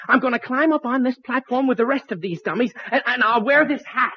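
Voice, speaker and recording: A man's voice: very high voice, fairly quick, husky, heavily acted. An actor playing an earnest, flustered teenage boy with an eager, exclamatory manner in a 1940s radio comedy. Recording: muffled 1940s radio broadcast.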